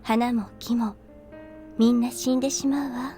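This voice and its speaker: An actress playing a gentle, solemn older sister speaking to a child in a Japanese visual novel game. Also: female voice, fairly high pitch, fairly even intonation, fairly quick, faint music behind, lightly acted.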